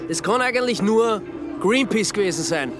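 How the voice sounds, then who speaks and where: An actor playing a flippant, sardonic amateur sleuth in a radio drama, with an Austrian accent and a deadpan manner. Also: male voice, high voice, moderate pace, over music. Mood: deadpan, mocking. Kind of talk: naturalistic radio drama dialogue.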